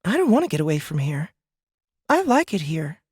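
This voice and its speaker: Man voicing a blunt young girl, high-pitched. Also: wildly swooping pitch, normal speed, husky, dry recording, studio quality, exaggerated voice. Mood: stubborn, annoyed. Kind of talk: sci-fi audiobook character dialogue.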